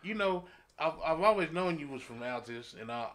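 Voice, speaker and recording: A man's voice: fairly high pitch, expressive, moderate pace, crowd noise. A man co-hosting a podcast in casual, slangy chat. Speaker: podcast co-host.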